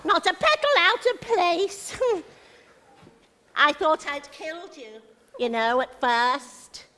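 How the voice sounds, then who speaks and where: Nasty theatrical witch villain on stage, sharp bright voice, swooping all over, taunting. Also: high voice, big pitch swings, takes it slowly, exaggerated voice. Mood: menacing, taunting. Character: gloating wicked witch, bitter, theatrical pantomime villainess. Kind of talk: live pantomime stage acting. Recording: echoey theatre hall, long reverb.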